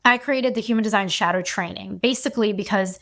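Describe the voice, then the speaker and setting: A woman's voice: medium pitch, brisk, creaky, fairly bright tone. Chatty woman guest explaining her coaching. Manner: chatty, fills her speech with "like". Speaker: human design coach, guest. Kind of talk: casual podcast conversation.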